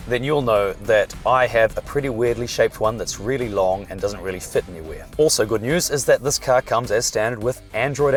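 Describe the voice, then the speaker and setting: A hoarse man, chatting and joking as he reviews a car while driving it. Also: fairly low pitch. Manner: chatty, dry jokes. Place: inside a moving car.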